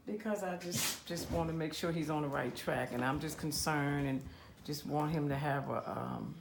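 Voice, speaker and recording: Brief: woman as a rambling, worried mom, 50s, very low gravelly voice. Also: normal speed, roomy sound, noisy background. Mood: earnest. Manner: rambling, hesitant, searches for words. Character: worried middle-aged mother in family therapy. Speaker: mother in a role-played therapy session. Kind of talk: improvised role play.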